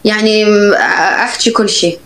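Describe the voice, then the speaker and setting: A woman co-hosting a casual phone live stream, relaxed and quick to laugh. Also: mid-range voice. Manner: easygoing, laughs often.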